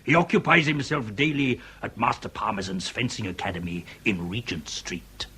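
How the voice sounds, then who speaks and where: An actor playing a pompous, excitable old-fashioned gentleman who relishes ornate phrases in a period comedy radio drama. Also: lowish voice, moderate pace, slight background noise. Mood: gossipy relish.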